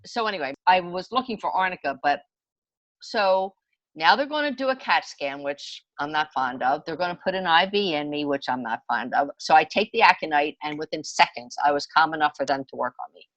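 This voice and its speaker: A woman in her sixties with a slightly low voice, recorded dry without room echo. She talks like a podcast guest swapping personal stories, chatty and emphatic as she moves from one anecdote to the next. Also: animated, brisk, fairly bright tone, dull audio. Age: 60s. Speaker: podcast guest, homeopathy enthusiast. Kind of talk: conversational personal storytelling.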